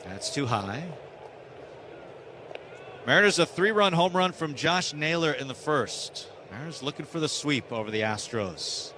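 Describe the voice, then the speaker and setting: A man's voice: fairly high pitch, expressive, measured pace, sharp tone, slight background noise. A man with an American accent calling live baseball play-by-play on radio in short, clipped phrases.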